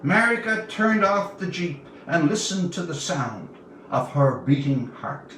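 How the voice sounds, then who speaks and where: A man of about seventy from Newfoundland, Canada, reading his own tale aloud as a storyteller, with deliberate, dramatic pauses. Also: fairly high pitch, sing-song, fairly bright tone. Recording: roomy, distant mic, faint background noise.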